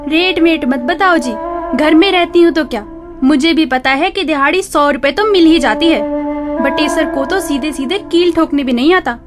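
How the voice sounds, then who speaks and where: Woman acting high-pitched nagging wife. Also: normal speed, natural voice. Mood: scolding. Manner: scolding, complaining, theatrical. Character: nagging, sharp-tongued village wife of a poor blind labourer. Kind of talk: Hindi radio drama acting. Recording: music bed behind the voice.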